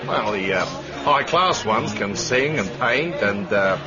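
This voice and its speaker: An actor playing a worldly, amused adviser in a radio drama, explaining things chattily with hesitations and dry humour. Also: male voice, on the low side, lively intonation, takes it slowly. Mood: wry, explanatory. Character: worldly, amused male adviser briefing a spy. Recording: muffled radio broadcast with music behind.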